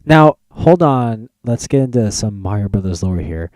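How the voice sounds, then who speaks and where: Low, mellow man in casual banter. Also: very animated, normal speed, no echo. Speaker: podcast host. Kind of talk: casual banter about films.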